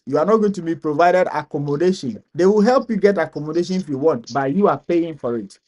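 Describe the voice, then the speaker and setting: A man from Ghana hosting a live stream Q&A, explaining steps slowly and repeating key points. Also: high-pitched, a little mellow. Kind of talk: live Q&A advice.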